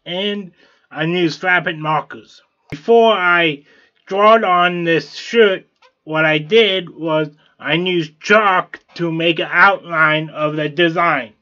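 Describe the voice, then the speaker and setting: A man's voice: high-pitched, pushed and bright, lo-fi sound. A man hosting a vlog, narrating how he hand-draws a t-shirt design in a plain, repetitive way with fillers and restarts. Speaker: t-shirt artist, channel host. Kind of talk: narrated drawing tutorial.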